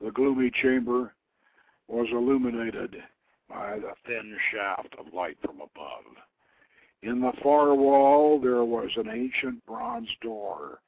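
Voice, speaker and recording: A man reading a legend aloud as scripted narration, in a measured, dramatic storytelling manner. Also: medium pitch, big pitch swings, gravelly, no echo. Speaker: host reading his own novelette. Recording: lo-fi, phone-like internet radio.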